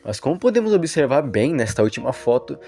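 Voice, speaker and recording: A man's voice: medium pitch, big pitch swings, brisk, warm tone. A man with a Brazilian accent narrating an explanatory video analysis in a wordy, explainer manner.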